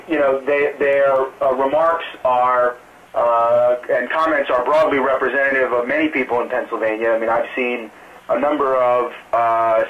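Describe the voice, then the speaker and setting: Campaign spokesman, male, slightly low voice, careful and halting, on a narrow phone line. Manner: halting, careful, hedging delivery. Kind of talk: press conference call, answering questions off the cuff. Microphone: telephone conference call. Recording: muffled narrow phone line, distant, some hiss.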